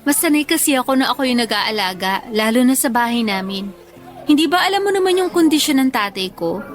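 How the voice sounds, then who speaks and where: A woman playing a tired, affectionate young schoolteacher in a Filipino radio love drama, speaking softly and earnestly. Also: a little high, expressive, fairly quick, faint music behind, natural voice. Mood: weary, confiding.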